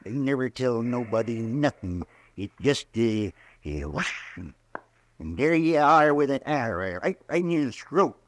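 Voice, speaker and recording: An actor playing a rambling, excitable old frontier man in a 1950s radio drama, stammering and frantic. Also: medium pitch, a little slow, dry recording, close to an everyday voice. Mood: ominous.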